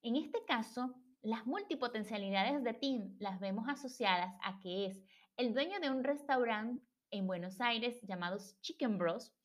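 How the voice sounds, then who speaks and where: A woman's voice: on the high side, lively intonation, a little slow. A woman hosting a podcast, reading a formal introduction and welcoming her guest. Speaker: podcast host. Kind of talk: scripted intro then interview hosting.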